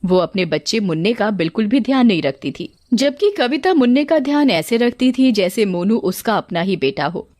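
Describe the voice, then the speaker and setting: Woman doing kids story narration, really up and down pitch, dry sound. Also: sing-song, moderate pace, hardly any pauses, darkish tone, dry recording. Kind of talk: scripted children's story narration.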